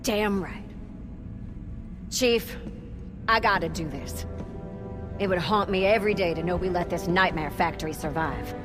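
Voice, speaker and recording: An actress playing a tough, defiant marine lieutenant with firm, cocky military talk in a cinematic game. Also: female voice, on the low side, a little slow, pauses often, over music, close to an everyday voice. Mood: defiant, resolute.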